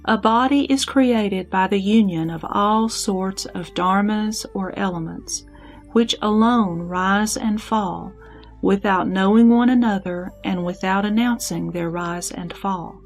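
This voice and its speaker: Slow, creaky-voiced woman as contemplative bodhisattva. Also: mid-range voice, faint music behind. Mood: calm, contemplative. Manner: contemplative, deliberate. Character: sick bodhisattva reflecting calmly on illness. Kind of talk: scripture audio drama.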